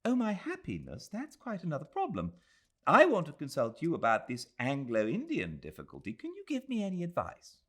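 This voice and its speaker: An earnest young Englishwoman , voiced by a male narrator, confides in a hesitant, genteel British voice. The pitch is raised and swoops about in a lively storybook reading. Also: moderate pace, full character voice. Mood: earnest, pleading. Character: earnest, self-doubting young Englishwoman in colonial India. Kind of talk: audiobook character voice.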